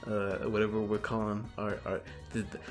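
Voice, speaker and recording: Male co-host, lowish voice, casual and jokey, rambling and mock-grandiose, faint music underneath. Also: completely flat delivery, moderate pace. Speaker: co-host of a web video series. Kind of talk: casual joking banter with a co-host.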